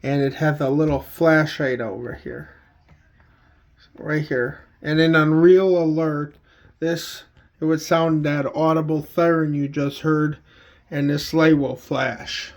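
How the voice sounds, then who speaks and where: A man giving a casual, unscripted home video tour as a hobbyist, speaking plainly and haltingly with pauses mid-sentence. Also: on the high side, fairly even intonation. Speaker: hobbyist vlogger showing a collection. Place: indoors at home, walking between rooms.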